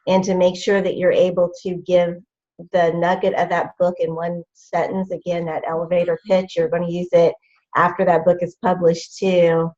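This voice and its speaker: Woman author, measured, practical, low flat voice, muffled lo-fi sound. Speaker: author guest. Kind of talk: casual panel chat.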